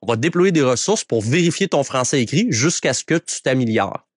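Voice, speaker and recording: Quebec guy, co-owns a company, talks it through with lots of euh and restarts, mid pitch, dead dry room. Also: lively intonation, a little fast, breathy edge, dry recording. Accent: Quebec French. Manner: explanatory, hesitant restarts. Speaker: guest business co-owner. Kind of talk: radio interview answers.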